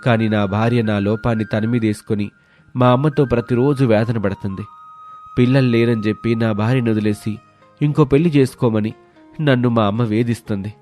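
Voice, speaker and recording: A man's voice: medium pitch, fairly even intonation, moderate pace, darkish tone, soft background music, lightly acted. A man playing a troubled, devoted husband in a moral story, speaking in a plaintive, confiding way. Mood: troubled pleading. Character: troubled married man, childless, devoted to his wife. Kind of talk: dramatised moral story acting.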